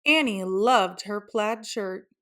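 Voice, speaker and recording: A woman's voice: mid-range voice, slow pace, a bit bright. A woman reading a children's picture book aloud as a storyteller. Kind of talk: scripted children's story narration.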